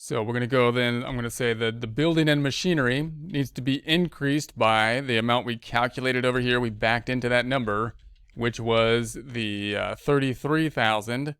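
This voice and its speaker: Clear-voiced man talking through a tutorial, dry sound. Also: medium pitch, normal speed, a bit bright, dry recording. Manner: informal, thinking aloud, repetitive step-by-step explaining. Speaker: accounting instructor. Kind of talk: casual screen-share tutorial, talking through worksheet steps.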